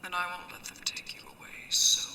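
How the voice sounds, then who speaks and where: A woman's voice: roomy sound, far from the mic. Woman playing a whispering, commanding female pirate captain. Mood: teasing, possessive. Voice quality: hushed, breathy whisper. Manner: slow, teasing, imperious. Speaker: voice actor in an audio roleplay. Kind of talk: ASMR sci-fi roleplay acting.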